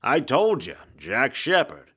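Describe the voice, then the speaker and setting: A man's voice: medium pitch, wildly swooping pitch, slow, a bit bright, breathy edge, pitched above the performer's own voice, exaggerated voice. A man voicing a tough, mocking ghost of a private eye in an audiobook, with a slangy, sardonic drawl in a 1940s detective manner. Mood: sardonic, blunt. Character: tough hard-boiled male detective ghost, mocking, self-assured.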